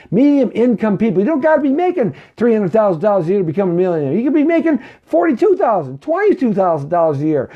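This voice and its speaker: Preachy fifties man, lively voice. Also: sits high, muffled recording. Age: 50s. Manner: preachy, repeats himself, keeps asking "okay?" and "right?". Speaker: talk show host. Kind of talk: live money talk show.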